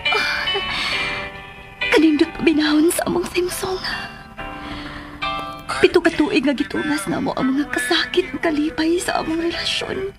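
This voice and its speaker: A woman's voice: very high voice, moderate pace, pauses often. A woman with a Cebuano Filipino accent playing a sentimental married woman recalling an old love in a radio drama. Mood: wistful, tender. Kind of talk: Philippine radio drama monologue and dialogue. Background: music.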